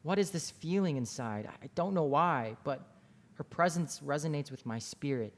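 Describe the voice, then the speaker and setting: A man's voice: on the high side, animated, moderate pace. A man playing a dreamy, lovestruck painter in a table read of a romantic play, with a wistful manner. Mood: lovestruck, wondering. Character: lovestruck dreamy male painter, reincarnated ancient king.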